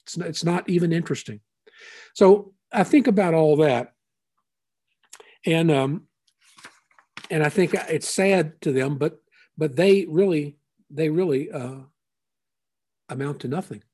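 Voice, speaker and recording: A man preaching a conversational sermon as a pastor, unhurried and thinking aloud with frequent asides. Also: fairly high pitch, a little mellow, husky.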